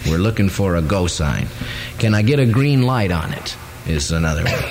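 A man's voice: low voice, animated, normal speed, noisy background. A man hosting morning radio with loose, ad-libbed DJ chat.

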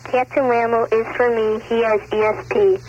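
A woman's voice: a little high, steady tone, normal speed, darkish tone. A woman calling a radio contest by telephone, reciting simple rhymes and riddles. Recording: muffled noisy phone line on radio.

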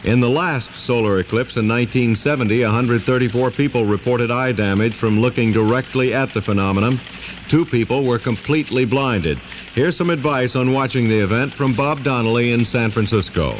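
A man's voice: medium pitch, a little fast, a little hiss or hum. A man reading the news as a radio newscaster in 1970s style, on an old aircheck recording.

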